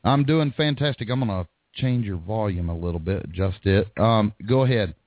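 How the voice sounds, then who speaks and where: A man's voice: fairly low pitch, normal speed, noisy background. A man hosting a call-in radio show, chatting casually with frequent ums and laughs. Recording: dry, tinny phone-like line.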